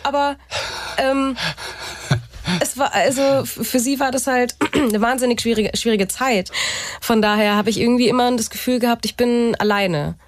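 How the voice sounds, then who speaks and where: A woman's voice: fairly high pitch, fairly even intonation, moderate pace, dry recording. A young woman from Germany, a guest on a radio talk show, speaking candidly and reflectively with many restarts and fillers. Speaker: guest, parkour athlete.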